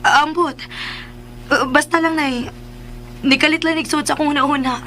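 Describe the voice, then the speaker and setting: Caring young woman in radio drama, confiding, high bright voice, bit of background noise. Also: fairly even intonation, normal speed. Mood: confiding, puzzled.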